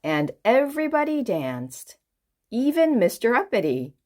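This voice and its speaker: Female children's storyteller, expressive and lively in pitch, unhurried, engaging the listener directly. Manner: expressive, asks the listener questions. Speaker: children's book reader. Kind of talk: animated read-aloud storytelling for children.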